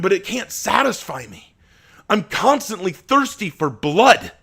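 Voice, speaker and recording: A man voicing a tormented young male vampire with a volatile temper, who bursts into shouting rage and anguish. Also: extremely low pitch, pitched below the performer's own voice.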